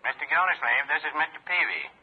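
An actor playing a mild, polite small-town shopkeeper with a raspy, deadpan voice over a telephone in a 1950s radio comedy. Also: male voice, on the high side, fast. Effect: telephone filter. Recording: thin phone line on old radio.

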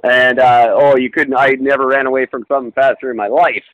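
A man's voice: medium pitch, level tone, fairly quick, no echo, narrow, tinny audio. A man in casual podcast chat, talking in a rambling, self-deprecating way with many fillers and restarts. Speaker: podcast co-host.

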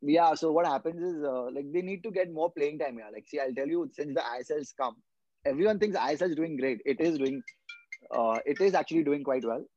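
A man's voice: a little high, a little fast, a little mellow, dry recording. A young man from India, a guest footballer in a casual podcast chat, explaining earnestly and often repeating his words as he thinks. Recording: dull lo-fi podcast mic.

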